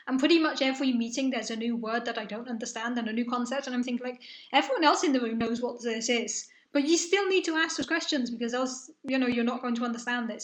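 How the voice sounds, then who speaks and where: A woman's voice: on the high side, fairly bright tone, roomy sound. A young woman from the south of England, raised in Spain, with a mixed British-European accent, chatting casually as the host of a live science stream and answering viewer questions. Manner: chatty, reassuring, friendly explaining.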